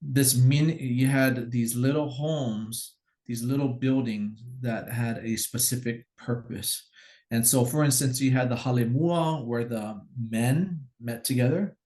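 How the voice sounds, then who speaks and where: A man from Hawaii, a guest teacher, telling stories in a relaxed, explanatory way during a casual conversation. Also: medium pitch, unhurried. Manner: relaxed, explanatory, acts out quoted commands.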